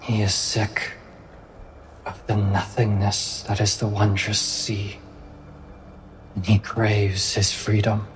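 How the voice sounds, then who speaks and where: Man playing a grave, low-voiced fox spirit. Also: little pitch movement, slow pace, long pauses, background noise. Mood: grave, resigned. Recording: music behind the voice.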